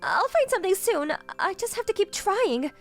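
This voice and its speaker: A woman's voice: very high voice, very animated, moderate pace, faint music behind, exaggerated voice. An actress playing a sweet, meek young woman, earnest and timid, in a romcom manga dub. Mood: timid, hesitant. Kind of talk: anime-style romcom manga dub acting.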